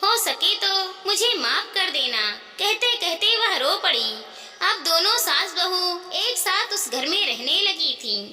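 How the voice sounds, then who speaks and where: Female narrator, piercingly bright and high, earnest scripted moral tale, echoey reverberant recording. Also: very animated, unhurried, recorded from a distance, a little hiss or hum. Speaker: storyteller, narrator. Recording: echoey, reverberant hall-like sound.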